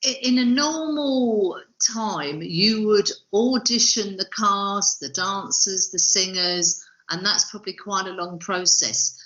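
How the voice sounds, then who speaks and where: A woman's voice: mid-range voice, slow pace, hardly any pauses, fairly bright tone. A woman hosting a podcast, putting questions to her guest in a conversational way. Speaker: podcast host, interviewer. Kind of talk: conversational interview. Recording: roomy, slightly muffled audio.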